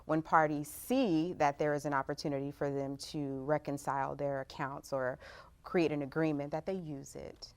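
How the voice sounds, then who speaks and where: A woman giving measured, articulate explanations as a guest in a panel interview. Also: low voice, steady tone, a little mellow, smooth.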